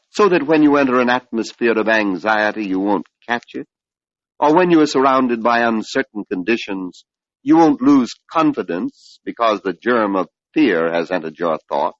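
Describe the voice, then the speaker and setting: An older man giving a measured, oratorical spiritual lecture on an old radio broadcast, posing rhetorical questions. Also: on the low side, lively intonation, dry recording. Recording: dull lo-fi vintage radio recording.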